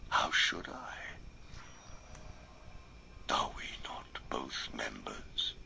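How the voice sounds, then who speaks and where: A man reading an audiobook, voicing a scheming old male fallen wizard in a soft, persuasive, whispery voice. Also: extremely low pitch, animated, very slow, full character voice. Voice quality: whispery, gravelly. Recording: audiobook clip played back.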